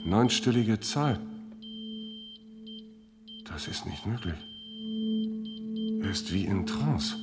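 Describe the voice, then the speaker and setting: An actor playing a resigned, matter-of-fact security operator in a radio drama, dry and factual in delivery. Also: male voice, fairly low pitch, expressive, slow, long pauses, very noisy. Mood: factual, tense. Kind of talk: German radio drama acting. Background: music.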